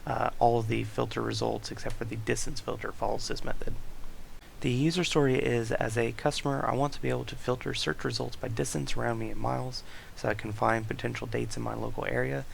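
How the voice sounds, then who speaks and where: Gravelly young man explaining code. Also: mid-range voice, steady tone, quick pace, slight background noise, rough recording. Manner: reads user stories aloud, explains code step by step with fillers. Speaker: student presenter. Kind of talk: screen-recorded project walkthrough.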